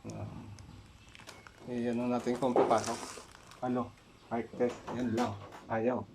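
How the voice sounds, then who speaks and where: A man's voice: medium pitch, flat delivery, slow pace, creaky, very noisy. A man with a Filipino accent casually talking through an unboxing in short, unscripted fragments. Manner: unscripted, talks in short fragments while handling items. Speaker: product unboxer.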